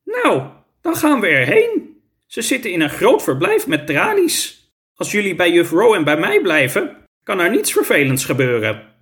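Storybook creature teacher, male reader: high voice, patient, instructive, matter-of-fact. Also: huge pitch swings, normal speed, fairly bright tone, heavily acted.

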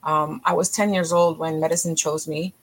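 A middle-aged woman from Haiti, a physician talking as a podcast guest in energetic, emphatic bursts that often restart mid-phrase. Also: low-pitched, normal speed. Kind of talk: podcast interview.

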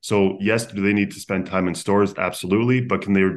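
A man's voice: fairly low pitch, steady tone, brisk. A Canadian man in his thirties, a podcast guest and food-tech founder, telling his story in an upbeat, run-on conversational way. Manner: upbeat, talks in long run-on stories.

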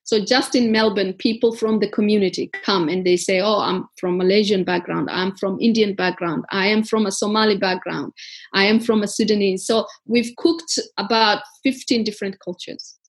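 A woman's voice: mid-range voice, fairly even intonation, normal speed, breathy edge. A woman from Africa, founder of a community garden, telling her story earnestly in an interview, with many fillers and restarts.

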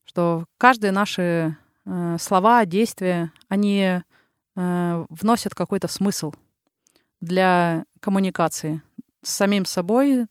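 Hesitant woman in her thirties, smooth voice. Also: on the low side, slow, no echo, clean studio sound. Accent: Ural Russian. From Russia, the Urals. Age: 30s. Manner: hesitant, many filler sounds, reflective. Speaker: podcast guest, psychologist. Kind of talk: casual interview talk.

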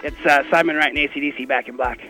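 A man's voice: a little high, steady tone, racing, rough recording. A man calling in to a game, bantering in a casual, teasing way. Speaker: contest caller. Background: loud music.

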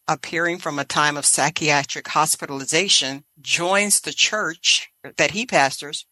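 A woman's voice: low voice, pushed and bright, rough recording. A woman hosting a podcast in a conversational style. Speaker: podcast host.

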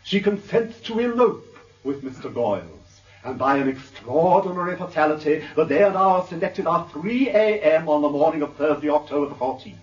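Male actor, upper-class English defence barrister, highish voice, grand rhetorical radio-drama oratory, muffled distant recording. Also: expressive, moderate pace, few pauses, lightly acted. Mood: solemn oratory. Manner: pompous, rhetorical, precise. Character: suave, theatrical English defence barrister, self-assured and eloquent.

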